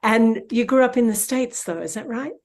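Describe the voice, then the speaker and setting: A woman hosting an unscripted conversational interview in an affirming, gently reflective way. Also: on the high side, normal speed. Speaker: interview host.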